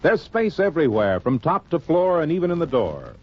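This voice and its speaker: A man's voice: fairly high pitch, huge pitch swings, a little fast. A man announcing a 1950s radio commercial with an emphatic salesman delivery. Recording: dull, muffled old radio recording.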